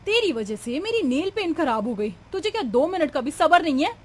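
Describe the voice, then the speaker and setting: A woman playing a young, indignant, sharp-tongued daughter-in-law in a cartoon family drama, with a scolding, melodramatic delivery. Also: high-pitched, big pitch swings, brisk, a little hiss or hum. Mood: angry, indignant.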